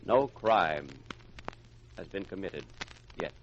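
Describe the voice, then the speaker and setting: Old time radio announcer guy, super low, ominous halting suspense delivery, slightly hissy recording. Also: lively intonation. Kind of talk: dramatic scripted 1950s-style radio introduction. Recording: dull, faintly noisy vintage radio recording.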